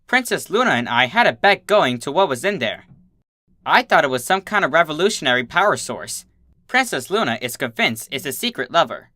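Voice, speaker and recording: A narrator giving an expressive first-person reading of a comic fan story. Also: mid-range voice, a little fast, no echo.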